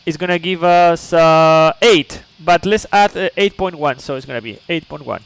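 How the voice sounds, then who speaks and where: Man explaining things in a higher voice. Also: moderate pace, clear, resonant tone, low-quality recording. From Chile. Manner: patient and instructive, checks in often with "okay?"; chatty asides to viewers. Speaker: flight sim streamer. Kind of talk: explaining steps while streaming live.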